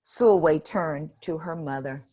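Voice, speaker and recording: Woman reading a picture book to kids, gentle and expressive; low voice, very slow. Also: slightly rough, rough recording. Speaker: storybook reader. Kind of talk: children's picture book read-aloud.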